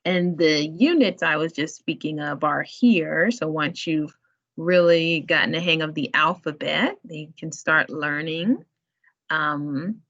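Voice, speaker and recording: Slow-talking woman on a video call. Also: low voice. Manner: relaxed, chatty, laughs often. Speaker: library staff presenter. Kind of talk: online tutorial demo. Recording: muffled online meeting audio.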